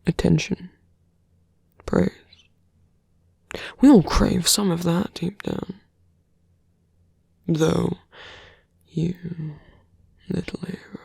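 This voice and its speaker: Seductive villain played by an ASMR performer: breathy, half-whispered, very creaky, taunting and menacing, intimate roleplay delivery. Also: medium pitch, darkish tone, no echo, full character voice. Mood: smug, teasing. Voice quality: breathy, often dropping to a whisper. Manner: slow, deliberate, taunting.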